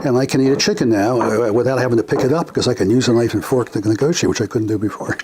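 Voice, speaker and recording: Older guy telling family stories, lots of uhs and pauses, faint hiss in the background. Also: medium pitch. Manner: reflective, unhurried, many pauses and filler words. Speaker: interviewee. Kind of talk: family oral history interview.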